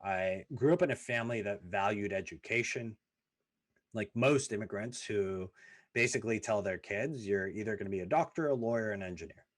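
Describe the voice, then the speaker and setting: A man's voice: on the low side, a little fast. A man in his thirties hosting a podcast, telling his family's immigration story in an earnest, emphatic way, stacking lists and repeating phrases for weight. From former Soviet Union, raised in the US. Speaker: podcast host. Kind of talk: personal storytelling monologue.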